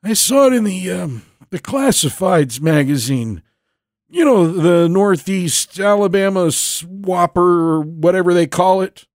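A frail, folksy old veteran in an audio storybook. His aged voice is strained and pitched well up, and he draws words out slowly with old-fashioned, unhurried courtesy. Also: expressive, darkish tone, dry recording, studio quality. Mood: halting, courteous. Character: elderly frail Vietnam veteran man, folksy and unhurried job applicant.

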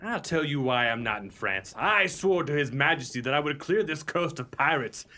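Heroic young king's man, male actor: high, ringing, defiant, formal, radio melodrama. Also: expressive, normal speed, sharp tone. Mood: proud. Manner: declamatory, formal heroic speech. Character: Red Joe, defiant, noble young king's agent. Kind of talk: melodramatic radio play acting.

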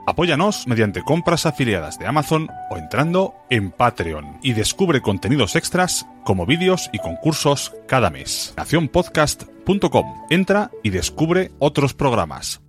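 A man's voice: medium pitch, very animated, moderate pace. A man reading a scripted promotional announcement for a podcast network. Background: faint music.

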